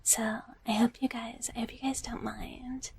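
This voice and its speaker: A woman voicing a sweet, gentle dragon big sister in live ASMR, whispering softly and haltingly and often repeating her phrases. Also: on the high side, flat delivery, no room sound, full character voice. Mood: soft, reassuring. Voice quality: soft, breathy whisper. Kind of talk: whispered live ASMR roleplay, chatting with viewers.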